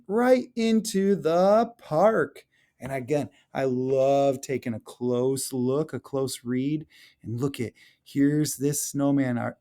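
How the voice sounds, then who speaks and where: A man reading a picture book aloud as a teacher, chatting to children and explaining the pictures with expressive, drawn-out phrasing. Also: a little high. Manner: questions and chats to children, explaining illustrations.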